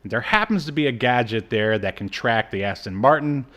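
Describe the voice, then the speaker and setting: A man's voice: medium pitch, expressive, normal speed, a bit bright. A man co-hosting a podcast, giving a casual, comic recap with incredulous, mocking asides. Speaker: podcast co-host.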